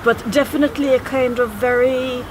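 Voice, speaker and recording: A poet from Trinidad in reflective conversation, speaking in a measured, thoughtful, erudite way outdoors by a city waterfront. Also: male voice, very high-pitched, steady tone. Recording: outdoor recording with traffic noise.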